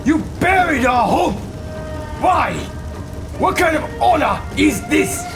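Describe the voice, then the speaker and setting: A man's voice: extremely high pitch, expressive, takes it slowly, gravelly, exaggerated voice. An actor playing an anguished, righteous ninja confronting his traitor brother, with an impassioned, strained, theatrical delivery in an audio drama. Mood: outraged. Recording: voice under loud dramatic music. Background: loud music.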